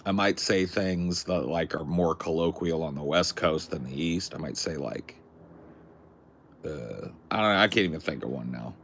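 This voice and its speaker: A man's voice: extremely low pitch, moderate pace, a bit bright, slight background noise. A young man from California with a Californian accent, chatting casually in a rambling, jokey, crude way. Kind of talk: casual chat.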